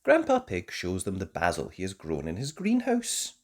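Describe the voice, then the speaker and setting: A storyteller reading a children's picture book aloud in an expressive way, as host. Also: deep voice, moderate pace.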